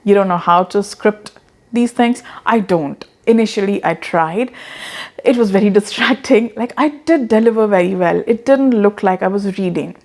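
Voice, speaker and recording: A woman's voice: mid-range voice, moderate pace, darkish tone. A woman in her thirties with an accent mixing Indian and American English, giving earnest, encouraging advice to the camera and often repeating words as she talks. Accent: Indian-American blend. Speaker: online business coach. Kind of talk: casual talking-head advice.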